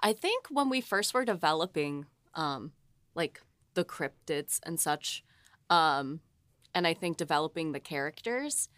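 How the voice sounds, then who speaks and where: She is a woman with a notably low pitch, co-hosting a casual podcast. She talks informally, working out her thoughts as she goes. The recording is dry, without room sound. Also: lively intonation, measured pace, fairly bright tone, no echo. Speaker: co-host and writer with playwriting background.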